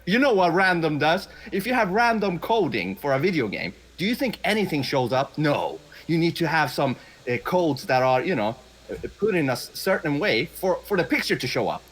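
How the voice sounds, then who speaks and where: A man's voice: on the high side, very animated, normal speed, a bit bright. A man from Sweden, not a native English speaker, debating in a blunt, profane, insistent way. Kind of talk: heated debate.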